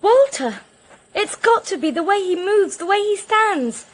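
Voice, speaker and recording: A high-pitched young woman is a tense witness in a radio mystery. She speaks slowly and deliberately, earnest and insistent, with dramatic weight on each phrase. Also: very animated, heavily acted.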